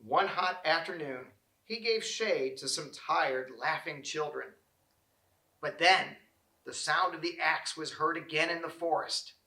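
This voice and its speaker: A man reading a children's storybook aloud, with expressive and deliberate phrasing. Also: on the high side, roomy sound. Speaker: storybook reader. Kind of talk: children's read-aloud narration.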